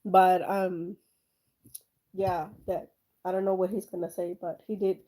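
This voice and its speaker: Art-channel host, woman, slight German accent, mellow tone, rambling and chatty. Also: on the low side, flat delivery, measured pace. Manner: rambling, many fillers and restarts, chuckles. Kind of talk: casual rambling chat while painting.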